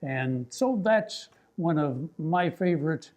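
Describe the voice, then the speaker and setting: An older man co-hosting a show, reminiscing in casual chat with a halting, repetitive way of talking. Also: on the high side, lively intonation, darkish tone. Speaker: TV show co-host.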